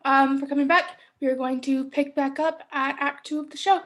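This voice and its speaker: A woman's voice: high-pitched, fairly even intonation, normal speed, fairly bright tone. A young woman hosting a staged play reading and narrating its stage directions. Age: young adult.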